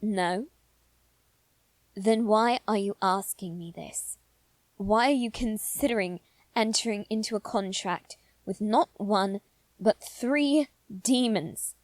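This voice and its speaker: A woman voicing an anxious aristocratic boy in a scripted audio roleplay, speaking formally and haltingly with dramatic pauses. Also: mid-range voice, darkish tone, no echo, close to an everyday voice. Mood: alarmed, scolding. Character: anxious aristocratic boy lord bound to demons. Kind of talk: scripted ASMR roleplay addressed to the listener.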